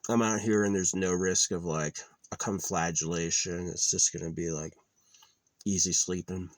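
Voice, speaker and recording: Young man, very low voice, meandering casual delivery, dry close sound. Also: steady tone, moderate pace, no echo. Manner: meandering, offhand, trailing off with filler. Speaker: vlogger. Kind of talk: rambling casual monologue. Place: outdoors at a quiet wooded campsite.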